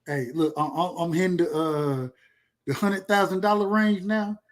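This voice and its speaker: A man's voice: sits high, normal speed. A man in his thirties from rural Georgia with a Southern accent, chatting casually and emphatically in slangy, profane, repetitive phrases. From rural Georgia, USA. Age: 30s. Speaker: podcast speaker. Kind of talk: casual chat.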